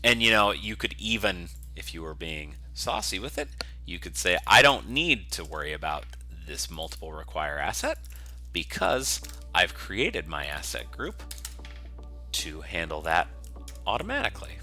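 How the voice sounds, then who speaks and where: Deep, very bright-voiced man explaining. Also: sing-song, unhurried, faint music behind. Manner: casual, methodical step-by-step explaining. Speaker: software developer giving a tutorial. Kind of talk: screencast coding tutorial, explanatory and unscripted.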